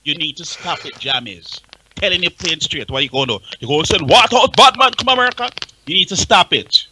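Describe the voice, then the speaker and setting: A man's voice: a little high, lively intonation, brisk, pushed and bright, a little hiss or hum, rough recording. An impassioned man with a Jamaican accent speaking emphatically and repeating himself in a heated radio discussion. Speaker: talk show panelist.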